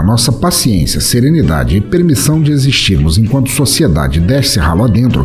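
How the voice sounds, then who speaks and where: A man's voice: medium pitch, a little mellow. A man with a Brazilian accent narrating dramatically as a podcast host, in a literary, elaborate manner.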